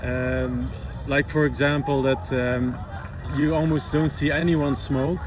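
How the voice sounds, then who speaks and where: A man's voice: medium pitch, fairly even intonation, slow, a crowd in the background. Dutch-born man with traffic behind. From Netherlands. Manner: hesitant, many fillers and restarts. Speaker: visiting physiotherapist guest. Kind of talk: interview answers. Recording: outdoor traffic noise behind.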